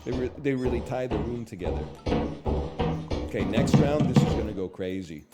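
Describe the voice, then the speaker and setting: A hoarse man in his thirties rambling through a casual stream with joking asides. His pitch swings around freely as he talks. Loud music plays behind him the whole time. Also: animated, normal speed. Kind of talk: casual chat while gaming.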